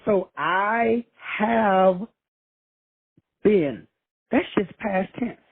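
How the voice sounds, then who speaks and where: A man's voice: sits high, drawn-out words, gravelly, breathy edge, dry recording. A man from Texas hosting a call-in show, preaching profane motivation with swagger and slang. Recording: tinny phone line, dry.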